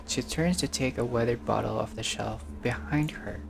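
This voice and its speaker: A man's voice: mid-range voice, normal speed, vocal fry. A man narrating a visual novel playthrough, reading the text aloud and adding casual commentary as a gamer.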